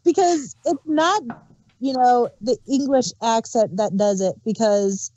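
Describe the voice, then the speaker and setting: A woman's voice: fairly high pitch, dark tone, rough recording. A woman co-hosting a review podcast, chatting casually and laughing often. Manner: unhurried, amused, laughs often. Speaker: podcast co-host reviewing an audio drama.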